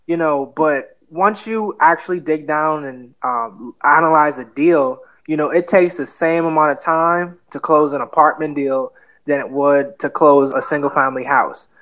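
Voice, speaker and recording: Casting note: twenties Bahamian man, slightly high voice, relaxed podcast guest with fillers. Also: normal speed, rough recording. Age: 20s. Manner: relaxed, earnest, many fillers. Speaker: guest real estate investor. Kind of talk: podcast interview.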